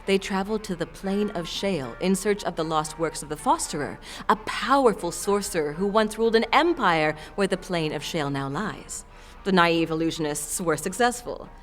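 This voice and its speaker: A low female voice acted by a woman presents an exotic fantasy shopkeeper. She is theatrical and salesmanlike, relishing each word as she pitches her curiosities. Music plays behind her in this audio drama. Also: natural voice. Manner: chuckling, savoring her words, salesmanlike.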